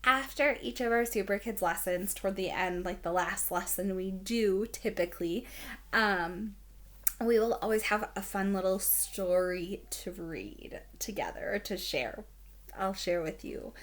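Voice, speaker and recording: A woman's voice: mid-range voice, measured pace. A woman teacher introducing a read-aloud for young children, explaining things simply and asking the listener questions. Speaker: elementary school teacher.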